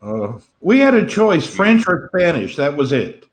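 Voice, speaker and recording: Talkative man, slightly high voice. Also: big pitch swings, a little slow. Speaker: livestream chat participant. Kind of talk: casual chat.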